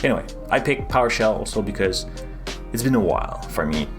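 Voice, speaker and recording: A man's voice: fairly low pitch, animated, a little fast, over music. A man with a French accent, casually chatting through a live coding stream, rambling with lots of fillers and restarts.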